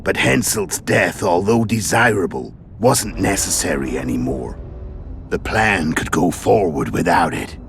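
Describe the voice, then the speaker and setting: Game villain: hulking, unrepentant assassin, rumbling bass with heavy gravel, calm and dryly ironic, music underneath. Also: male voice, exaggerated voice. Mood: frank, weighty. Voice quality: very deep, gravelly. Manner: measured, matter-of-fact storytelling with dry irony. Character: hulking, world-weary male assassin, calm, cunning and unrepentant. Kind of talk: video game cutscene acting. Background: background music.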